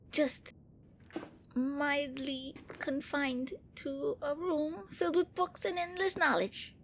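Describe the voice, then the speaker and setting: A woman playing a gentle, bookish young vampire prince in an ASMR roleplay, speaking with hesitant, earnest courtesy. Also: high voice, a little hiss or hum, heavily acted. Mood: nervous, sheepish. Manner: hesitant, politely fussy, earnest.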